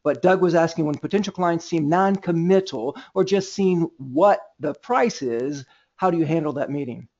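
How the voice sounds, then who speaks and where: Male conference call moderator, slightly high pitch, halting repetitive phrasing, informal coaching tone, lo-fi audio. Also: darkish tone. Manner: halting, repeats words and phrases. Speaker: host of a sales team conference call. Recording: dull, lo-fi conference call line.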